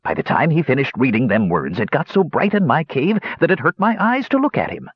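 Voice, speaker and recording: A male narrator acting out a battle-weary old dwarf hermit for a fantasy story, coarse and grumpy. His rustic, ungrammatical talk is pitched up high and leaps about, with a husky edge on a dull recording. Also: big pitch swings, a little fast, no echo. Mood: storytelling. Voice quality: coarse, growling. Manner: folksy, rustic, ungrammatical speech. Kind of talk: fantasy audiobook character voice.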